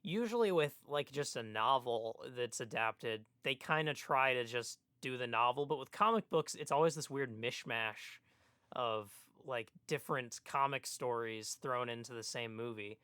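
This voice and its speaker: A man's voice: medium pitch, moderate pace, sharp tone, clear, resonant tone, no echo, clean studio sound. A man co-hosting a casual podcast, hesitant and thinking aloud as he talks. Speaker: podcast co-host.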